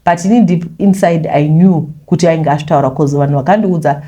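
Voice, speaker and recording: A woman's voice: low voice, fairly quick, mellow. A woman with a Zimbabwean accent telling a first-person confession story in a conversational way, mixing in English phrases. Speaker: YouTuber reading a listener's confession.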